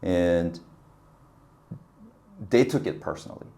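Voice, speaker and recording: Man, very deep voice, animated storyteller, laughs often. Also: slow pace, gravelly. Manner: animated, laughs often, acts out quoted dialogue. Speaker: guest, business consultant. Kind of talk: casual interview storytelling.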